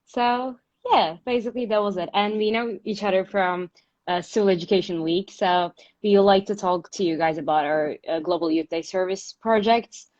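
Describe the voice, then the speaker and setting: A woman's voice: mid-range voice, steady tone, moderate pace, a little mellow. A young woman from Turkey, casually interviewing a guest over a video call and filling her talk with "like" and "uh". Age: young adult. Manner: chatty, leans on "like" and "uh". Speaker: interviewer on a livestream. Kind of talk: casual chat. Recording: dull lo-fi livestream audio.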